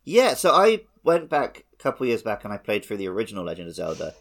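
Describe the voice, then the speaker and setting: Low-voiced man in casual discussion. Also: wildly swooping pitch, fast talker, creaky, a bit bright. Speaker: podcast co-host.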